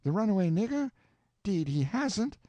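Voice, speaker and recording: Man doing a flustered old country aunt, scolding, high and swooping, dry close sound. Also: sing-song, moderate pace, warm tone, dry recording, highs cut off. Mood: indignant. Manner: scolding, exclaiming.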